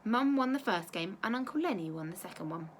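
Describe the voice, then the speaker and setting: A woman teacher reading a children's storybook aloud with expressive narration. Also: medium pitch, brisk, slight background noise.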